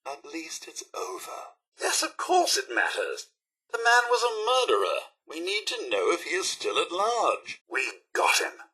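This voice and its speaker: Fussy robot butler for a video game, prim n anxious, bright voice, kinda high and animated. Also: male voice, measured pace, slightly rough, full character voice. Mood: alarmed, worried. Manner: prim, formal, mannered butler diction. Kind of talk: scripted video game character dialogue.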